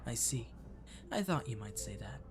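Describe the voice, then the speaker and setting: A man acts out a friendly catboy cafe waiter for an ASMR roleplay. He is courteous and flirty, coaxing the listener gently at a medium male pitch, with clear music behind him. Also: sing-song, moderate pace. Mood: caring, playful. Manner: attentive, gently coaxing, lapsing into whispers. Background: clear background music.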